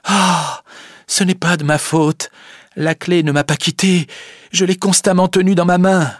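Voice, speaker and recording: A man's voice: on the high side, moderate pace, no echo, clean studio sound, pitched above the performer's own voice, natural voice. A man voicing a distraught, pleading young woman in a storybook reading. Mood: defensive, upset. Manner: breathless, exclaiming, pleading.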